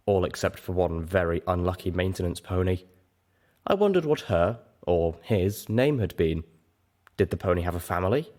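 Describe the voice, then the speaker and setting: A man reading a first-person story as narrator, in an expressive, dramatic style. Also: sits low.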